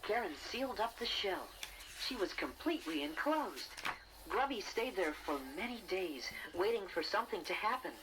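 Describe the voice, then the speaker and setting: A man's voice: high voice, very animated, normal speed, background noise. A man voicing a friendly, earnest young bear who narrates a children's storybook in a boyish manner. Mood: gentle storytelling. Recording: 1980s cassette with music behind.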